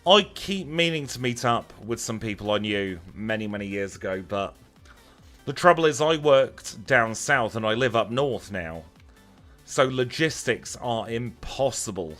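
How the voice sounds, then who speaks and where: A man's voice: medium pitch, expressive, a little slow, fairly bright tone, soft background music. A man with a Lancashire accent chatting casually as he streams a video game, wry and self-deprecating. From Lancashire, northern England. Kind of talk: casual livestream chat over gameplay.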